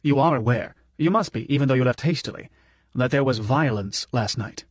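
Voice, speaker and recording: A man's voice: mid-range voice, breathy edge, no echo, natural voice. A man doing a courtly, fretful old professor. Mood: earnest. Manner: warm, halting, fussy old-world politeness. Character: older male professor, gentle, courtly, fretful.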